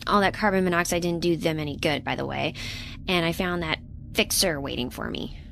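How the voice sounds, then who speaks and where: A woman's voice: low-pitched, a little fast, a bit bright. An actress playing an earnest, literal-minded young woman researcher in a sci-fi audio drama, speaking conversationally and a bit hesitantly. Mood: uneasy. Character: earnest, awkward young woman researcher defecting from corporate ship. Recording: clear ambient noise behind.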